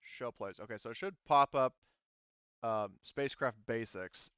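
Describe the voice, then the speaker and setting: A man's voice: medium pitch, a little slow, a bit bright, clear, resonant tone, no echo, dull audio. A man acting as game master, talking casually and swearing freely to players in a tabletop roleplaying session. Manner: casual, profane.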